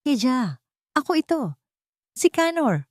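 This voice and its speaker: A woman's voice: high voice, sing-song, measured pace, a little mellow, no room sound, studio quality, pitched above the performer's own voice. A woman narrator voicing a loyal, protective elderly male butler giving urgent, secretive instructions in an audio drama. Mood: urgent reassurance.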